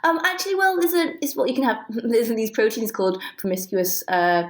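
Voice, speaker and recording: A woman's voice: on the high side, fairly quick. A woman with a British accent explaining technical detail conversationally and with enthusiasm, as an interview guest. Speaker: guest, scientist and author.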